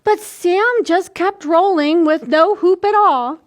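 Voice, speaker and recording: An older woman in her sixties hosting a children's storytime, reading aloud and explaining things simply and deliberately for small children. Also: very high-pitched. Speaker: library storytime host. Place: small indoor room.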